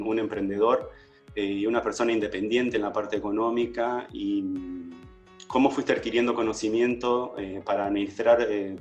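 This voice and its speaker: A man hosting an interview, asking long, hesitant questions full of fillers. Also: fairly low pitch. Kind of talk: casual interview.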